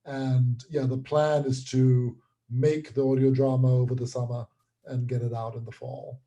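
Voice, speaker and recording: A man's voice: mid-range voice, little pitch movement, normal speed, mellow, muffled recording. A man chatting casually as a guest in an interview, talking as a writer and creator.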